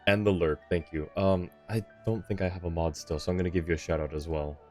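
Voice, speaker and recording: A man's voice: deep voice, fairly even intonation, quick pace. A slightly tired man chatting casually and politely with viewers as a live game streamer. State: a little tired. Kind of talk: casual chat with viewers while gaming. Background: faint game music.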